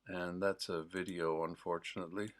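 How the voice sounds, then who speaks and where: A man with a mild Newfoundland accent, hosting a livestream in a casual, rambling, digressive chat full of pauses. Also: deep voice, flat delivery. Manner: digressive, with many pauses and fillers. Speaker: host of a livestream.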